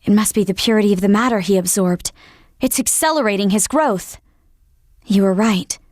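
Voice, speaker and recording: A woman's voice: mid-range voice, moderate pace, a little mellow, no echo, clean studio sound, lightly acted. An actress playing a deferential, earnest female scientific aide in a video game, speaking formally and with urgency. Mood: earnest reporting.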